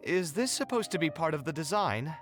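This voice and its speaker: An earnest young architect speaks in polished anime game dialogue. He is expressive and a touch wry, with an articulate, reflective storytelling delivery. Music plays under the voice. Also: on the high side, fairly quick. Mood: nostalgic, sheepish. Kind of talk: anime-style video game voice acting.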